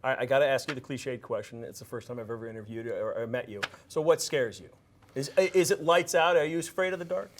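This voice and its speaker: A man's voice: mid-range voice, fast, slight background noise. A man interviewing a guest, chatty and self-deprecating, stumbling over the openings of his questions.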